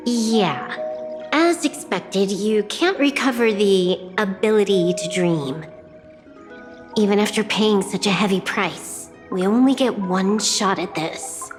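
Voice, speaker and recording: A cool young game heroine's ally, played by a woman, speaks slowly and bluntly in a mid-pitched voice. She is dry and terse yet caring, her words ringing with long reverb over music. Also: natural voice. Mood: clinical, blunt. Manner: matter-of-fact, terse, dry. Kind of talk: video game drama dialogue.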